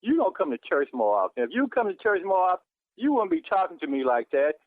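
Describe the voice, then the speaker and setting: A man with a fairly high voice argues heatedly down a thin phone line. He is indignant and emphatic, repeating phrases, and the sound is dry. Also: big pitch swings, fast, dry recording. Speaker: church member receiving a prank call. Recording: tinny telephone line.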